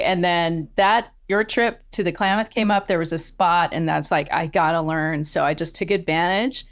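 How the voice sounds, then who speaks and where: A middle-aged woman, a podcast guest and angler, telling her stories with enthusiasm and frequent laughs. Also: on the low side. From born in Australia, raised in the eastern United States. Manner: enthusiastic storyteller, laughs often. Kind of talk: casual interview chat.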